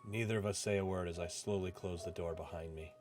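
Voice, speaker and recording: Man reading as a subdued, low-voiced brooding office worker. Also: fairly even intonation, fast, lightly acted. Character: reserved, brooding young office worker narrating his story. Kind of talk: storybook-like visual novel narration. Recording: music playing behind the voice.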